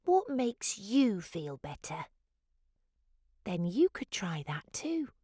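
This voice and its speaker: A woman playing a wise, gentle male platypus in a children's storybook, advising in a soft, measured way. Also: mid-range voice, very animated, husky, no room sound, clean studio sound, exaggerated voice.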